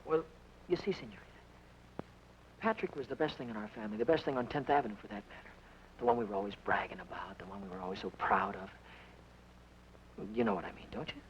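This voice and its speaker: A man's voice: mid-range voice, sing-song, fast talker, gravelly, pauses often. An actor playing Denny, a timid and nervous young man who stammers, babbles and blurts things out, in 1940s radio-play style. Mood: earnest. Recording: dull old radio recording with faint hiss.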